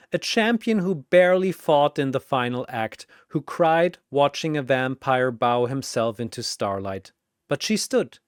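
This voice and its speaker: A man's voice: medium pitch, smooth, no room sound, studio quality. A man narrating a scripted fantasy story dramatically, in theatrical, punchy phrases.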